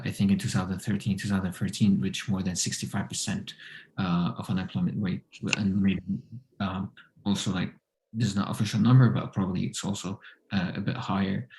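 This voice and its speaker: Syrian-accented man, halting answers. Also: fairly low pitch, darkish tone. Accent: Syrian Arabic. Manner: halting, many fillers, non-native phrasing. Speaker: guest entrepreneur. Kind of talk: interview answers.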